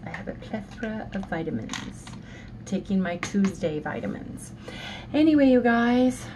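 Hoarse, nasal woman in her sixties. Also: lowish voice, noisy background. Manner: chatty, keeps saying "you guys". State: hoarse and nasal from sinus trouble. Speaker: vlogger. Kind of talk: casual morning vlog chat. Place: home office with shelves.

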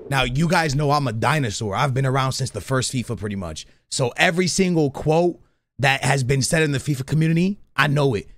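A man's voice: medium pitch, a little fast, a bit bright, no echo, clean studio sound. A man in his thirties doing slangy, casual reaction commentary as a streamer, given to banter and emphatic repetition. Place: small indoor streaming room. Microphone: close studio microphone on a boom arm.

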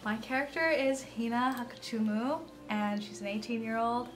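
A woman's voice: fairly high pitch, normal speed, a little hiss or hum. A young woman playing a tabletop roleplaying game, chatting casually and playfully as she improvises her character. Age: young adult. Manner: informal, playful, frequent "like". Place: indoor studio, seated at a shared table. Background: background music.

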